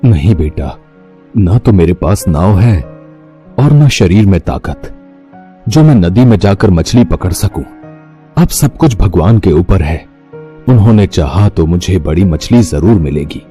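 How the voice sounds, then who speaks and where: A man's voice: low voice, lively intonation, unhurried, mellow, soft background music. A man voicing a poor, pious old fisherman in a cartoon, humble, weary and plaintive. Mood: resigned.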